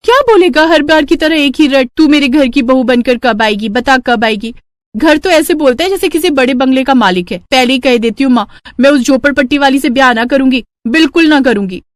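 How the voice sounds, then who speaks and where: A woman voicing a spirited young village daughter and bride in an animated, expressive storybook style. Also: high voice, brisk, dry recording, clean studio sound, pitched above the performer's own voice. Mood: indignant.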